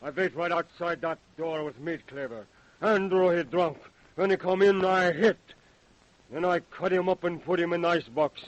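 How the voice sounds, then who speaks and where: An actor plays a sullen immigrant butcher cornered in a radio crime drama. His voice sits a little high for a man and sounds close and dry. He speaks halting, broken English. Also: no echo, highs cut off, lightly acted. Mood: desperate confessing. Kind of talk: old-time radio crime drama acting.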